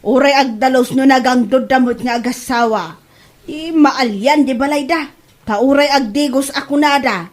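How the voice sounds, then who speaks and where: Woman acting a high-voiced, nagging Ilocano mother-in-law. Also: normal speed, natural voice. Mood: disgusted, complaining. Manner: nagging, blunt, complaining. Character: blunt, scolding mother-in-law, fed up and fussy. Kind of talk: Ilocano comedy audio drama acting.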